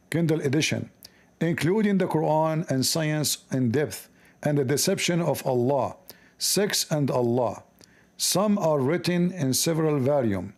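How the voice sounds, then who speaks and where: A man with an Arabic accent reading aloud haltingly and breaking off for sarcastic, joking commentary. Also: on the high side. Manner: halting, stumbling reading of English.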